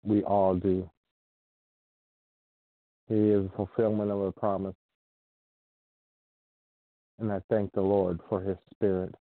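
Guy from ohio preaching kinda low, earnest, repeats stuff, sounds tinny and dry like a phone. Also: little pitch movement, no echo. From Ohio, United States. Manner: earnest, unhurried, repeats himself. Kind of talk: informal sermon with scripture reading.

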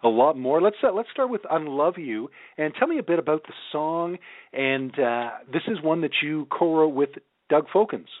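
A man's voice: a little high, lively intonation, normal speed, dry recording, phone-quality sound. A man hosting a conversational radio interview, affirming his guest with effusive reactions. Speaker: radio show host, interviewer.

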